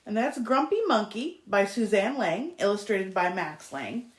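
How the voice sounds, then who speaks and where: Woman doing kids storytime, slow and expressive, pitch goes all over, bit echoey room. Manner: expressive, with small asides to young listeners. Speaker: library storytime reader. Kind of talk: children's storybook read-aloud.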